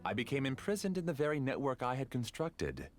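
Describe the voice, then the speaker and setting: A man voicing an obsessed, grieving male scholar who calmly justifies himself in a game. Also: mid-range voice, a little fast, lightly acted. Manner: calm, self-justifying. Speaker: game character. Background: game music.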